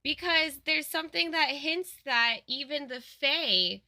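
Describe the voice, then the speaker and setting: Female co-host storyteller: casual explanatory chat, very bright forward voice, somewhat high. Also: animated, unhurried.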